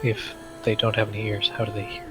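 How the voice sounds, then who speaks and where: A man's voice: fairly low pitch, monotone, quick pace, over music, poor audio. A man co-hosting a casual gaming podcast, making dry, wry remarks. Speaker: podcast co-host.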